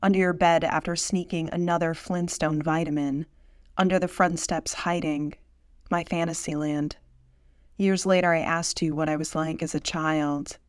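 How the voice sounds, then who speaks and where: A woman's voice: sits low, steady tone, normal speed, dry recording, studio quality. A woman poet reading her own literary poems aloud.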